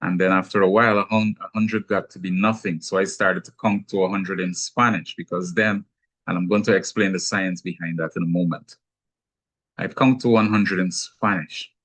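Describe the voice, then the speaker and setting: A man with a Guyanese accent, giving a measured, explanatory teaching talk with touches of gentle humor. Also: deep voice, steady tone. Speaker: speaker on anger management.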